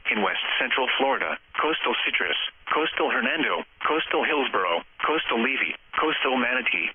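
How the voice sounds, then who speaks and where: A man's voice: mid-range voice, animated, moderate pace, husky. Synthetic American male weather radio voice, phone-like. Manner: formal, impersonal, synthetic announcer delivery. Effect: radio transmission. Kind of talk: scripted weather bulletin read-out. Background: radio feed.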